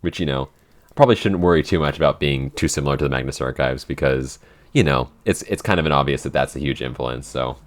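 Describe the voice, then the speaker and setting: Very deep-voiced man, rambling podcast host. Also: quick pace. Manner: rambling, self-mocking, chuckles often. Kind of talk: casual podcast Q&A chat.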